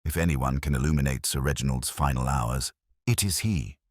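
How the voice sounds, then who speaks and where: A man voicing a calm, incisive consulting detective in a mystery audiobook, with a crisp, deliberate manner. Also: extremely low pitch, dry recording, clean studio sound. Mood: deliberate, musing.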